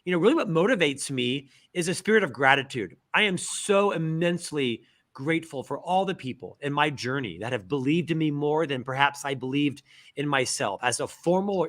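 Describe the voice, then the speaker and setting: A middle-aged man from Central Florida, a guest author in a casual interview, telling lively stories with self-deprecating humor. He has a stutter. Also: a little high. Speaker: guest, author and podcast host.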